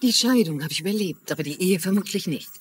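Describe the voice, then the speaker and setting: A woman's voice: on the low side, expressive, fast, lightly acted. A dubbing actress playing a wry, affectionate middle-aged woman in a TV crime drama. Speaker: dubbing actor. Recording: faint background noise.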